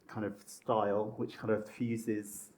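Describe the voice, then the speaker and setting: A man's voice: on the low side, level tone, slow pace, a little mellow. A man, a magazine editor hosting a design panel, chatty and full of fillers. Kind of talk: moderating a panel. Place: stage at a design event.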